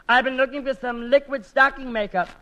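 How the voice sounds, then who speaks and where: A man's voice: very high voice, fairly even intonation, a little fast, sharp tone. A man in his twenties playing a gushy, vain young woman in a 1940s radio comedy. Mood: hopeful, insistent. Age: 20s. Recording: noisy old 1940s radio broadcast.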